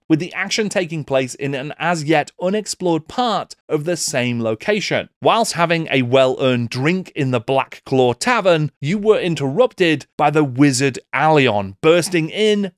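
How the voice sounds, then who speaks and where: Middle aged guy narrating a video essay, slightly high voice, close dry mic. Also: no room sound. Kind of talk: scripted documentary narration. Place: small book-lined home studio. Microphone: desk microphone up close.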